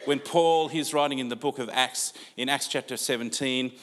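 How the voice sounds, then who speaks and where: An older Australian man giving a sermon in a relaxed, conversational way with many fillers, heard in a church hall with long reverb. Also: mid-range voice, moderate pace. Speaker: preacher.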